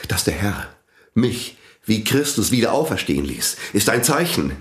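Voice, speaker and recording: A man's voice: sing-song, normal speed. An actor playing a domineering priest who preaches about the language he invented, pompous and zealous. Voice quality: pompous, preaching, sweeping pitch. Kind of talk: radio play acting. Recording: echoey studio radio play.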